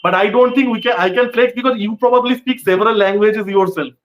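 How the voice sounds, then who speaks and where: A man's voice: high voice, fast. A man from West Bengal, India, hosting a casual interview and explaining things at length, often saying "like" as a filler. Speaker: interviewer, host.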